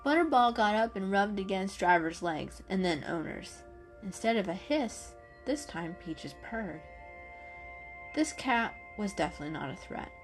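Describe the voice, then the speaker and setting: A woman's voice: medium pitch, lively intonation, unhurried, soft background music. A woman narrating a children's story as a dramatic reading.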